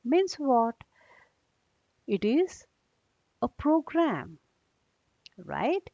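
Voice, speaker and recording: A woman's voice: high voice, very animated, extremely slow, mellow, no room sound, muffled recording. A woman teaches a children's lesson, reading aloud and explaining in simple, repeated phrases with frequent checking questions.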